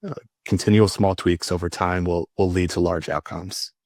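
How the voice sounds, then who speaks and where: A man speaking as a guest in an unscripted panel discussion, explaining his work in a measured way and repeating words as he goes. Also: deep voice, level tone, a little mellow, no echo, clean studio sound. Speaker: customer support leader, panel guest.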